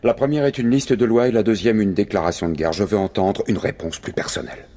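Low-voiced, insistent male moderator played by actor. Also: fairly even intonation, fast, mellow, lightly acted. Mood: firm, insistent. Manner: firm, probing. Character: insistent male debate moderator pressing his panelists. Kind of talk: dubbed TV drama dialogue.

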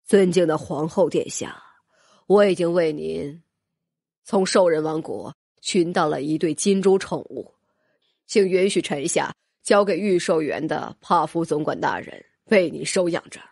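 A woman voicing an earnest, humble male royal minister in a fantasy audiobook, speaking low and deferentially. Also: measured pace, creaky, a little mellow, husky, no room sound, clean studio sound, full character voice.

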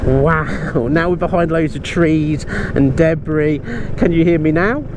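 A man's voice: a little high, measured pace, background noise. A middle-aged British man reviewing motorcycle gear in an emphatic, enthusiastic vlog style. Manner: emphatic, effusive.

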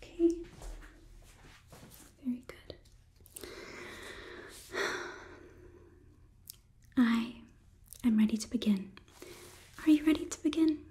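A woman in her twenties playing a condescending, clinical female robot overlord in a soft whispered ASMR roleplay. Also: on the high side, lightly acted. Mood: calm, commanding. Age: 20s. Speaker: ASMR performer. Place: small indoor studio set. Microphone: close microphone near camera.

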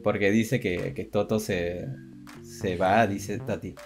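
A man's voice: sits low, steady tone, slow, soft background music. A young adult man co-hosting a casual chat for viewers, hesitant and often repeating his phrases. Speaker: co-host of an online chat show.

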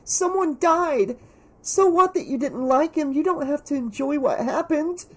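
A narrator voicing a worried, tender teenage girl in an audiobook, soft and pleading in manner. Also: mid-range voice, sing-song, moderate pace, voice pushed up. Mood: upset, reproachful. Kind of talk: audiobook character dialogue.

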